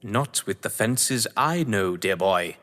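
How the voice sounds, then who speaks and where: An actor playing an urbane, cunning English crime novelist in an audio drama, pompous, condescending and wordy. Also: male voice, on the low side, lively intonation, slow pace, pushed and bright, full character voice. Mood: smug, persuasive. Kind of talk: theatrical audio drama acting. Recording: echoey hall-like reverb.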